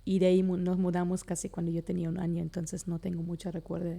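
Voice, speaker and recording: A woman in her thirties from Germany, a missionary guest answering interview questions in a slightly halting way and often ending phrases with a tag question. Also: on the low side, completely flat delivery, gravelly, warm tone. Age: 30s. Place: radio studio.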